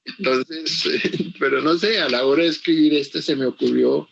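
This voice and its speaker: An older man from Costa Rica whose first language is English, answering interview questions about his books slowly, with many pauses and restarts. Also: a little high, creaky, husky, muffled recording. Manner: halting, full of pauses and restarts. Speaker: author being interviewed. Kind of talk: slow reflective interview answers.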